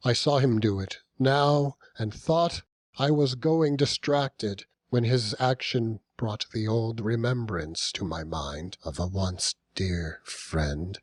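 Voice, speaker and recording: A man narrating a classic novel as an audiobook, in a measured, dramatic storytelling manner with deliberate pauses. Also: fairly low pitch, dry recording, studio quality. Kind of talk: scripted literary narration.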